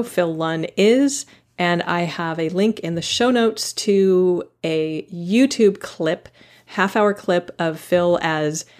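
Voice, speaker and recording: A woman hosting a podcast, talking in a casual, enthusiastic way and laughing often. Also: fairly low pitch. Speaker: podcast host.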